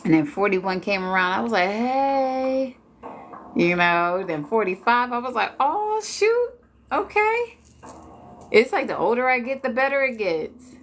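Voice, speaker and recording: A woman's voice: fairly high pitch, sing-song, unhurried, slight background noise. A woman in her late forties from Washington D.C., chatting casually and jokingly with viewers on a live stream. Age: 40s. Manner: chatty, laid-back, joking.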